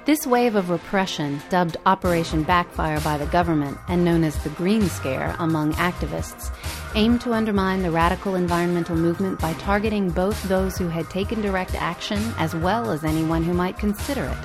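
Lady host reading a script in a lowish voice, music playing behind her. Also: fairly quick. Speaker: podcast host. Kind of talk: scripted narration.

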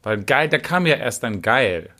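A middle-aged podcast co-host in casual chat, amused and quick to laugh. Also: medium pitch, expressive, pushed and bright.

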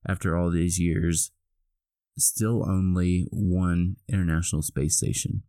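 A man co-hosting a podcast in casual chat, hoarse and groggy from a cold, laughing easily. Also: low-pitched, flat delivery, measured pace, dark tone, dry recording, clean studio sound. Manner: laughs often, easygoing. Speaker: podcast co-host.

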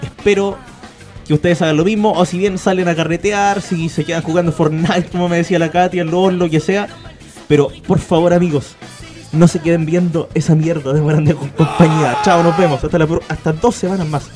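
A man's voice: fairly high pitch, fairly even intonation, a little fast, a little mellow, soft background music. A man with a Chilean Spanish accent hosting a radio show in a casual, chatty style.

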